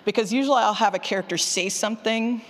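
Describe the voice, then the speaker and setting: A woman presents casually to a room in a reverberant hall. She is candid and animated, poking fun at herself and voicing her own thoughts as she goes. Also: medium pitch, quick pace. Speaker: presenter, fiction podcast writer. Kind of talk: casual conference talk with audience questions. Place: indoor session room with long reverb.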